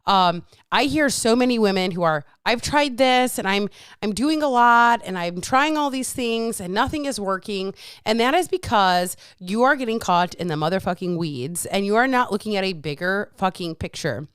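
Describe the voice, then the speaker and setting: A woman's voice: mid-range voice, animated, normal speed, fairly bright tone, smooth, dry recording, studio quality. A woman hosting her own podcast as a fitness coach, ranting bluntly with lots of swearing, a little congested with a lingering cough. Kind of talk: casual solo podcast rant.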